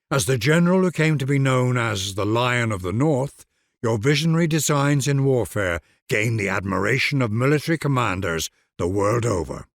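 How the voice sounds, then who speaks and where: A man's voice: medium pitch, creaky, dry recording, studio quality. A man delivering scripted narration for a game in a grand, solemn, declamatory manner.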